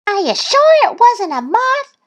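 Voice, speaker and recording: An extremely high, swooping little duck girl. She brags and shouts with competitive, excitable energy, like the pushy newcomer in a children's storybook. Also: unhurried, heavily acted. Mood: excited, boastful.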